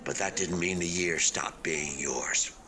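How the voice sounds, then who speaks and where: An actor in a radio play voicing an unfinished hotel building, a wistful but stubbornly hopeful man who talks in a halting, conversational way. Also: sits low, background noise. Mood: reflective. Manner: halting, conversational, with sighs and emphatic swings.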